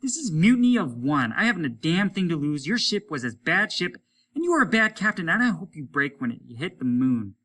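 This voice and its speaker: A bitter, spiteful astronaut, as a male narrator puts him on in a storybook reading, his voice pushed well above the reader's own pitch and louder, with a bright, sharp edge. He talks in a taunting, mocking way. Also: sing-song, moderate pace, far from the mic, exaggerated voice. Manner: taunting, mocking, raised and loud. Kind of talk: audiobook character voice.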